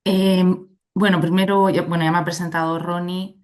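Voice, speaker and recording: A woman from Spain speaks with a Spanish accent in a low, grounded voice. She gives an online conference presentation through a computer mic on a video call, explaining things in a friendly, chatty way. She keeps checking in with her listeners as she goes. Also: level tone, normal speed, darkish tone. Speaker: invited speaker, legal expert.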